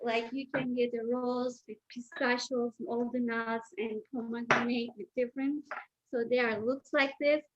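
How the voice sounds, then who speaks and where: A high-pitched woman with a Turkish accent talks her viewers through a home cooking demo. She is chatty, with loose non-native phrasing and a habit of asking "okay?". The sound is dull and lo-fi. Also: level tone, moderate pace. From Turkey. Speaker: guest chef. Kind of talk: informal cooking demonstration.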